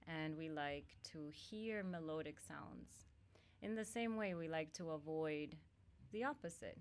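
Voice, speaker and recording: Low-voiced woman from Honduras, calm. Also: unhurried. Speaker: guest yoga teacher. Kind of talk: calm spiritual teaching talk.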